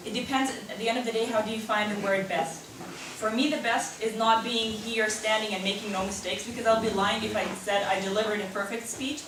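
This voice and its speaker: Earnest female speaker taking audience questions; recorded from afar in a strongly echoing room. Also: medium pitch. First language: not German; English not stated as first. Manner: earnest, personal, answers at length with restarts. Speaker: speaker at a lightning talk event. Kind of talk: live talk with audience Q&A. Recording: very echoey room, distant mic, faint noise.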